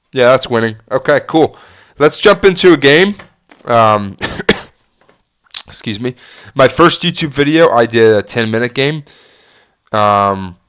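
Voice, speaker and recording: A man's voice: lowish voice, fairly bright tone, clean tone. A man with a stuffy nose and a slight cough thinking aloud through chess moves as he plays, often correcting himself. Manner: musing, self-correcting, talks through moves. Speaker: chess streamer.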